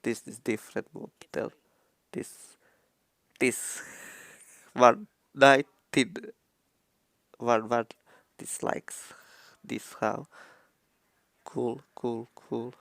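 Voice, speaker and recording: A young man who is not a native English speaker, recording a rambling phone tutorial in halting broken English full of restarts, on a handheld microphone. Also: medium pitch, steady tone, fairly bright tone, dry recording. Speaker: tutorial vlogger. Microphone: handheld microphone he keeps touching.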